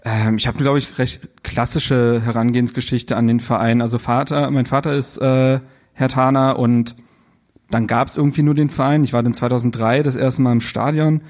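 A man's voice: medium pitch, steady tone, moderate pace, darkish tone. A young man from Berlin, a guest on a live stage panel, telling his story earnestly with a few fillers. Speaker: guest blogger. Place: theater stage.

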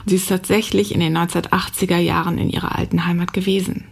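A woman's voice: lowish voice, level tone, quick pace, gravelly, husky. A woman co-hosting a podcast, explaining the story in a conversational way. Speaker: podcast co-host. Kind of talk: conversational narration.

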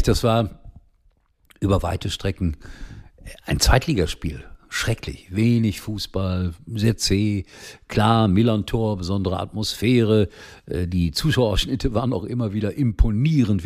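An older man hosting a casual daily football talk show, chatty and rambling with lots of fillers, a little hoarse from a cold. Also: low voice. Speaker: football commentator and show host.

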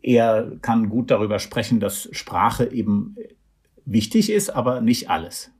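A man working as a foreign correspondent, answering interview questions in a measured, explanatory way, often pausing with hesitation sounds. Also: lowish voice, a little mellow, slightly rough.

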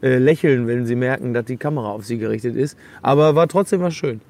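A man's voice: mid-range voice, quick pace, a little mellow. A man co-hosting a casual, humorous football podcast, telling anecdotes in a joking, sarcastic way. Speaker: podcast co-host.

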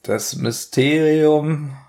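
A man's voice: fairly high pitch, drawn-out words, darkish tone. A man co-hosting a podcast in casual chat, putting in short, dryly teasing comments. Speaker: podcast co-host.

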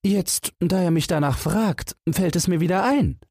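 A man's voice: sits high, measured pace, darkish tone, breathy edge, no room sound, clean studio sound, pitched above the performer's own voice, exaggerated voice. A man voicing a cheeky, cunning young fairy-tale lad with a lilting, cocky delivery. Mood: cheerful, sly.

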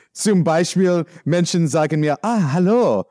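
A man from the United States with an American accent, speaking in a halting, searching way with many fillers, answering as an interviewee. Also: high voice, dark tone, dry recording, clean studio sound. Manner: halting non-native speech with many fillers. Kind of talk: conversational interview.

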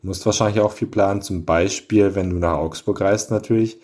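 A male interviewer leads the conversation with friendly, orderly questions. His voice is very deep and carries a husky edge. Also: steady tone, brisk, darkish tone. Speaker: interviewer, host.

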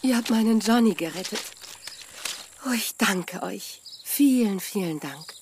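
A woman's voice: medium pitch, lively intonation, a little slow, darkish tone, a little hiss or hum. An actress playing a resolute farm mother in a children's radio play, with a firm, commanding manner. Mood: grateful. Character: resolute, stern farm mother with a warm heart.

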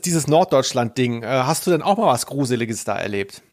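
A man's voice: medium pitch, animated, fairly quick, soft background music. A man with a mid-range voice co-hosts a casual podcast. He tells personal stories in a loose, rambling manner, readily making himself the butt of them. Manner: self-deprecating, rambling storytelling. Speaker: podcast host.

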